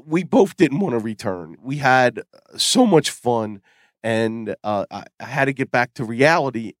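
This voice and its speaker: A man's voice: medium pitch, dry recording, clean studio sound. A middle-aged man hosting a casual tech podcast, sick with a cold and losing his voice, chatting in a rambling way with fillers and restarts. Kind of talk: casual solo podcast talk.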